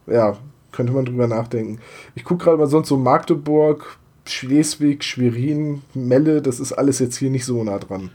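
A man's voice: medium pitch, moderate pace, warm tone. A man from Bremen with a Bremen accent, chatting casually as a podcast host and telling stories with many hesitations. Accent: Bremen German. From Bremen, Germany. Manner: chatty, storytelling, frequent hesitations. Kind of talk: casual chat.

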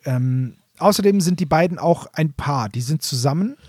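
Guy cohost, pretty high voice for a man, super dry sound, chatty explaining. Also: animated, normal speed, darkish tone, husky, no room sound. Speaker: podcast co-host. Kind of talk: casual explanatory chat.

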